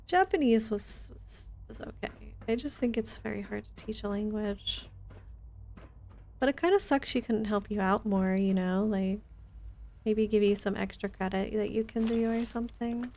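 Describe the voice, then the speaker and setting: A woman's voice: fairly high pitch, a little mellow. A woman chatting casually on a game livestream, conversational and rambling as she thinks aloud. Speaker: game streamer. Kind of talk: casual livestream chat while playing a game.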